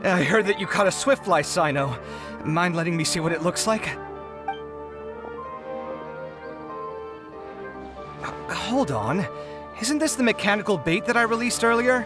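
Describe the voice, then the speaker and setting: An actor playing an earnest, modest young designer in a video game, speaking expressively and politely. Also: male voice, sits high, lively intonation, a little fast. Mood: puzzled. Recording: music behind the voice.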